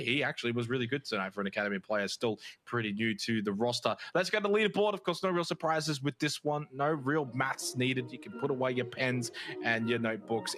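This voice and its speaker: A man's voice: medium pitch, a bit bright. A man giving conversational, explanatory post-match analysis as an esports commentator. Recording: music behind the voice. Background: background music.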